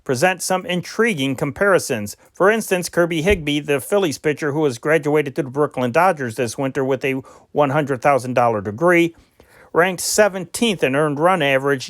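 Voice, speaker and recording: A man's voice: a little high, dry recording. Homespun male newspaper reader, dry sound. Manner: amateur, homespun reading with small slips and restarts. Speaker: news reader, channel host. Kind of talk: reading old newspaper stories aloud.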